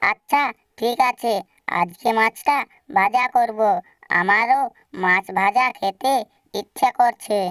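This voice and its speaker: A woman voicing a caring, indulgent mother bird in a family cartoon, with a gentle manner. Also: on the high side, slow pace, long pauses, no room sound, clean studio sound, far from the mic. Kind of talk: scripted cartoon voice acting.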